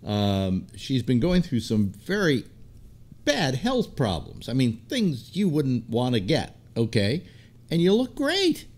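A man's voice: mid-range voice, huge pitch swings, takes it slowly, a little mellow. A man in his seventies with an American accent, hosting a talk show in a rambling, casual way from a desk microphone at home. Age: 70s. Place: home room lined with disc shelves.

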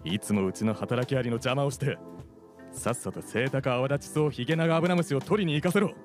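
A man's voice: medium pitch, fast talker, close to an everyday voice. A man playing a stern, protective soldier ant with a commanding manner in a radio drama. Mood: angry, threatening. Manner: commanding, threatening. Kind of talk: live radio drama acting. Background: music.

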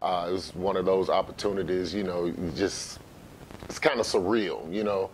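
A middle-aged American man giving casual interview answers as a guest, with halting, conversational phrasing. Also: soft background music, poor audio. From Memphis, United States. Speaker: interview guest, sports arena announcer. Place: living room, seated on couch. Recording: faint low background noise.

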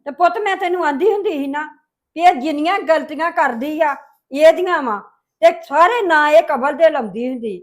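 A woman's voice: sits high, moderate pace, close to an everyday voice. A woman acting a worried village mother who scolds her daughter-in-law and pleads with her son. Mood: complaining. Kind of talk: family drama acting.

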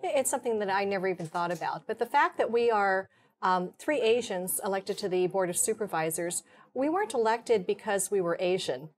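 A middle-aged woman answering as a guest in a TV studio, speaking in a measured, composed way on a lapel mic. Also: medium pitch, lively intonation. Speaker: county supervisor, guest. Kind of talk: TV interview.

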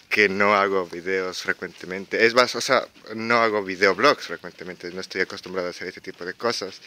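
A young man in his twenties with an Ecuadorian Quito accent, vlogging casually while walking outdoors on quiet residential streets, talking hesitantly with many fillers and restarts. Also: lowish voice. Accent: Ecuadorian Spanish (Quito). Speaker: exchange student vlogger. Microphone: handheld camera while walking.

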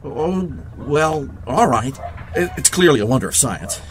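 An actor in an audio drama playing an eccentric, eager genius mechanic in a wheelchair, wordy and precise. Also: male voice, mid-range voice, expressive, a little slow, heavy background noise, lightly acted. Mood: sheepish.

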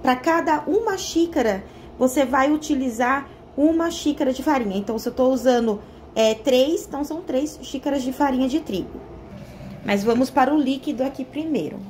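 Brazilian woman, high-pitched, friendly home-cook vlogger talking to a phone camera in her kitchen, faint background hiss. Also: fairly quick, a little mellow. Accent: Brazilian Portuguese. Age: young adult. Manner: chatty, explains step by step with frequent "tá?" check-ins. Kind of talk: casual cooking tutorial.

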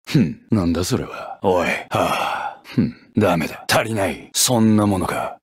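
A death-hungry gunman from an anime-style game. His voice is deep and husky, recorded very dry. He is scornful and listless, with menace underneath. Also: expressive, normal speed, dry recording. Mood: cold, scornful. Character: brooding death-seeking male gunman, scornful, longing for rebirth.

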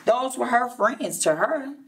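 A middle-aged woman, a preschool teacher, reading a story aloud to young children in a deliberate, explanatory way with repeated phrases and asides. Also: on the high side, lively intonation, a bit bright. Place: indoor classroom. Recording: distant microphone.

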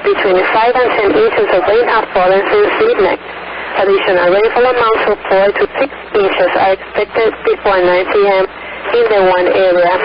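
A man's voice: high-pitched, steady tone, measured pace. A man formally reading a scripted emergency weather bulletin as a radio announcer. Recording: muffled, noisy weather radio broadcast.

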